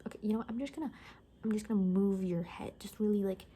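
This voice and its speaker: A woman playing a gossipy apocalypse survivor in a soft-spoken ASMR roleplay, casual and halting, often slipping into a whisper. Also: medium pitch, slightly rough, close to an everyday voice. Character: gossipy young woman, zombie apocalypse survivor cutting hair.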